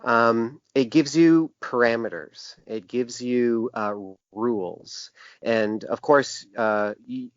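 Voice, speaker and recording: A man giving thoughtful, halting answers as an interview guest, often repeating words as he thinks. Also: mid-range voice, steady tone, dry recording. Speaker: interview guest, publisher and graphic designer. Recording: dull, lo-fi, dry sound.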